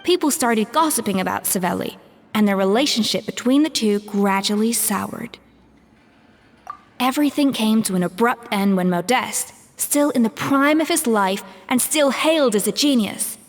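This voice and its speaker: An actress playing a seasoned head chef in a video game, talking in a reflective, measured way. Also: female voice, on the high side, in a large hall. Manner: reflective, measured storytelling with sighs. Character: seasoned woman head chef, thoughtful and precise. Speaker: chef character. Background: soft game music.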